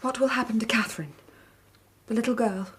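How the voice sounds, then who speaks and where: An actress in a British radio drama playing a wronged young gentlewoman, hurt, proud and indignant, with a breathy, half-whispered British voice. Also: a little high, brisk. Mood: shocked reproach.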